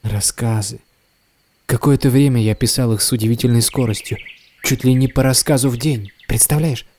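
An actor playing a restless, self-doubting writer in an audio drama, speaking in a wistful, courteous manner. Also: male voice, mid-range voice, fairly even intonation, normal speed, darkish tone, lightly acted. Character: weary, restless, self-doubting male writer set on escape. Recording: clear background noise, some clicks.

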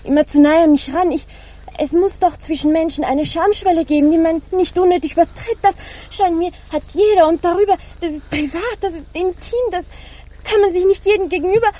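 An actress playing a touchy, prim female pirate in a radio drama, formal, halting and indignant. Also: extremely high pitch, dark tone. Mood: agitated. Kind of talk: German radio drama acting.

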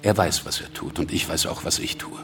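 An actor playing a cunning, ruthless, self-assured revolutionary leader in a radio drama, gravelly and deliberate in delivery. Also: male voice, sits low, noisy background, lightly acted. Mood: grave, resolute.

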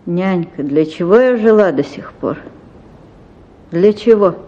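A woman playing an anguished, repressed young gentlewoman in a theatrical radio drama, pleading tremulously. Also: fairly low pitch, lively intonation, a little slow, muffled recording. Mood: despairing.

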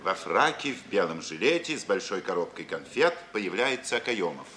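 Expressive male radio narrator, noisy recording. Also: low voice, moderate pace. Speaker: narrator reading stage directions. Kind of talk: scripted dramatic narration, 1950s radio.

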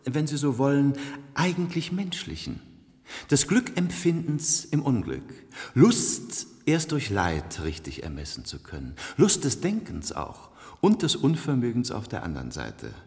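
A man acting a complacent, cultured ruler of a future elite in a 1970s radio play, suave, measured and condescending, in an echoey hall. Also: mid-range voice, very animated, creaky, darkish tone. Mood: smug musing.

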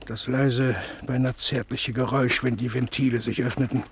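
An actor playing a weary, guilt-ridden male camp survivor in a radio play, speaking haltingly with many pauses. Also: mid-range voice, little pitch movement, a little hiss or hum, close to an everyday voice. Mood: haunted.

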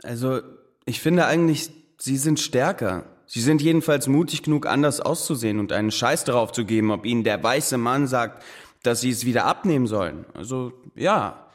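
A man's voice: medium pitch, moderate pace, natural voice. A man playing a cheeky, provocative teenage schoolboy and class clown, cocky and slangy in classroom drama dialogue. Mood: earnest, defensive.

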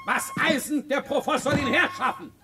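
An actor playing a gruff, tyrannical Prussian king in a German radio play, barking and scolding his orders. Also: very high voice, moderate pace, over loud music. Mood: furious.

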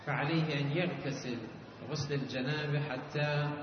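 A man's voice: on the high side, steady tone, normal speed. A male cleric giving a sermon on religious rulings, explaining step by step and raising listeners' questions to answer them. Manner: chanted opening invocation. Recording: distant echoey hall, dull lo-fi with noise.

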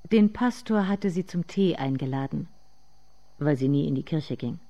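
An actress playing a somber narrator in a literary radio drama, speaking in measured, deliberate phrases. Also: female voice, low-pitched, expressive, warm tone, lo-fi sound.

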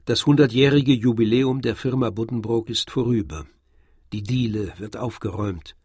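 A man narrating a literary radio drama from a script in a measured, formal storytelling manner. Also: mid-range voice, darkish tone, slightly rough, no room sound, studio quality. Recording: faint music behind the narration. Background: faint music.

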